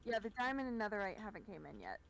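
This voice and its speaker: Woman, medium pitch, animated intonation, offhand roleplay chat, faint noise. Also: fast talker, creaky. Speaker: roleplay player. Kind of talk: improvised Minecraft roleplay chat.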